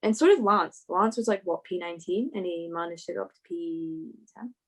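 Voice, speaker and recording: A woman's voice: fairly low pitch, a little fast. A woman chatting casually about motorsport as a podcast co-host.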